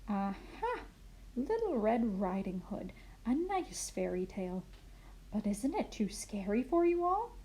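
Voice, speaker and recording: Woman as kindly grandmother, a bit muddled, mellow, fairly high, expressive storybook manner. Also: a little slow, slight background noise, poor audio. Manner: expressive storybook reading with puzzled self-corrections. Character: kindly, muddled grandmother misreading fairy tale aloud. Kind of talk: children's picture story reading.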